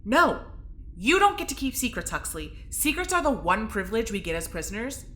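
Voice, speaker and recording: A woman's voice: on the high side, very animated, a little fast, fairly bright tone. A woman playing a blunt, sardonic young prisoner in an audio drama, curt and dismissive. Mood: defiant, snarky.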